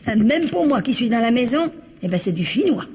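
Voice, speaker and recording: A husky, fairly high-voiced older woman cook in a radio mystery. She chatters in homely, colloquial turns, blunt and proud, rambling as she confides. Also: sing-song, a little fast, a little mellow, full character voice. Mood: blunt, confiding. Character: chatty, plain-spoken older female household cook, proud. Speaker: actress in a radio mystery play. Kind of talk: French radio mystery drama acting.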